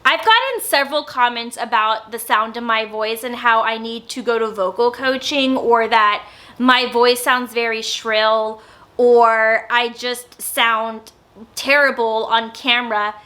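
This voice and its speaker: A young woman in a casual sit-down vlog, talking loudly and openly, with some stammering and lots of filler words. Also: on the high side, a little slow. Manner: naturally loud, with stammers and many filler words. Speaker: YouTuber. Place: indoors, sit-down filming setup.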